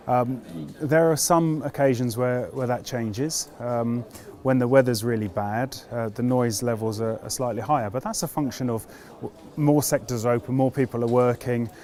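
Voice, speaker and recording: A British man giving reflective interview answers as an air traffic controller, in a measured, understated, explanatory manner. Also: mid-range voice, warm tone.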